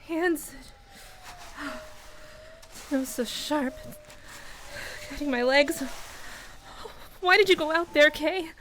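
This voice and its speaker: A woman playing a frightened, protective young woman in a radio play, anxious, pleading and halting. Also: sits high, background noise. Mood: frantic searching.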